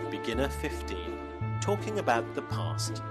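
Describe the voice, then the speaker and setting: A man hosting an English lesson, giving scripted explanations and modeling phrases slowly for learners to repeat. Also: medium pitch, very animated, over loud music. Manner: slow, clearly modeled phrases for repetition. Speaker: English teacher host.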